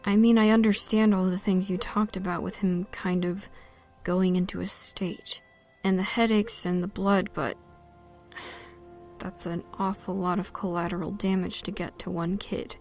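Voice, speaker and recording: A woman's voice: lowish voice, little pitch movement, normal speed, a little mellow, faint music behind, natural voice. A woman acting a tough, wry, questioning ranger with a casual manner in a zombie audio drama.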